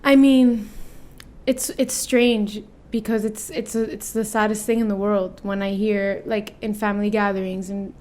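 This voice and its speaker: A woman in her twenties, from Venezuela, speaking as a guest in a relaxed interview. She thinks aloud and restarts often, sprinkling Spanish words into her English. Her voice sits at a middling pitch. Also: normal speed. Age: 20s. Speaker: singer-songwriter guest. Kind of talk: casual interview conversation.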